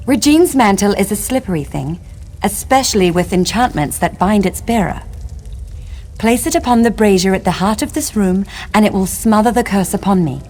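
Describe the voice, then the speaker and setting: A woman's voice: mid-range voice, animated, moderate pace, a little mellow, slight background noise, close to an everyday voice. An actress playing a noble elven lady in a video game, long imprisoned and yearning for home, speaking in formal theatrical diction. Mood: solemn, hopeful. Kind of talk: video game character acting.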